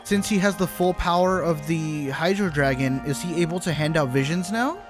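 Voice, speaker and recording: A man's voice: a little high, moderate pace. A man giving casual, exclamatory live commentary on a game, swearing freely. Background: game music.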